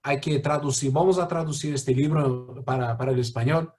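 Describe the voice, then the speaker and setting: A man's voice: mid-range voice, fairly even intonation, normal speed, a little mellow. A man with a Brazilian Portuguese accent, mediating a talk and introducing a guest with many fillers and restarts.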